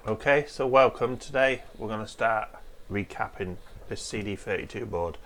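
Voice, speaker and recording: A hoarse man with a cold, hosting a casual repair walkthrough in a home workshop, explaining each step in a chatty, rambling way. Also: fairly low pitch, normal speed, fairly bright tone, soft background music. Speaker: host of a retro computer repair channel.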